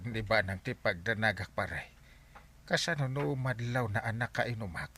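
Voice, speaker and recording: A man's voice: lowish voice, moderate pace, bright, forceful tone, slight background noise. A man playing a cowardly, scheming village captain in a comedy radio drama, with an Ilocano accent and a moaning, stammering, exclamatory delivery. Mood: nervous, flustered. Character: scheming tipsy male village captain faking agony, comic, cowardly.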